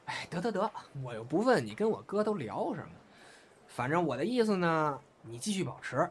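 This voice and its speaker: A man's voice: a little high, big pitch swings, brisk. A man playing a jealous, cheeky young brother in an audio drama, chatty and needling in manner. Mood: teasing. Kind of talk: Chinese audio drama dialogue. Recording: faint background noise and ambience.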